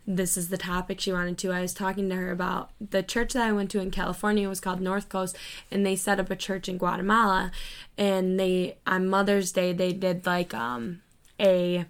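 A young woman co-hosting a faith podcast, tired from little sleep, telling stories in a chatty, animated way. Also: on the low side, clear, resonant tone. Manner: chatty, animated storytelling, quick laughs. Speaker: podcast co-host. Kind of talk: casual faith chat.

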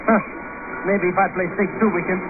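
Male actor as flustered cowardly sidekick, high swooping voice, 1950 radio spy serial, very noisy recording. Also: steady tone, fairly quick, close to an everyday voice. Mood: uneasy. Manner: flustered, stammering, babbling. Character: excitable, cowardly, wisecracking comic sidekick to an agent. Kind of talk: 1950 radio drama acting. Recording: noisy, tinny old radio broadcast recording.

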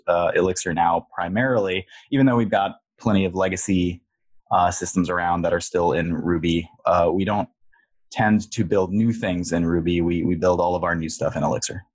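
Deep-voiced man, halting and unhurried. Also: dry recording. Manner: halting, lots of filler words. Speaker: guest software engineer. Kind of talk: casual podcast interview.

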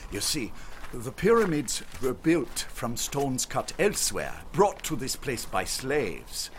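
An actor playing a courteous, learned German archaeologist with a German accent, speaking like a formal storyteller in a radio drama. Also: male voice, mid-range voice, very animated, slow pace, a little hiss or hum. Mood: reverent, explanatory.

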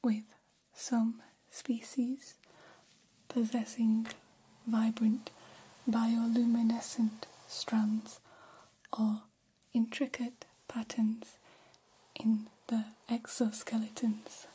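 A woman voicing a gentle, curious humanoid alien in whispered ASMR roleplay, speaking in soothing, stilted, formal phrases. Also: on the high side, flat delivery, extremely slow, exaggerated voice, low-quality recording. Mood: awed, gentle. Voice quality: breathy whisper.

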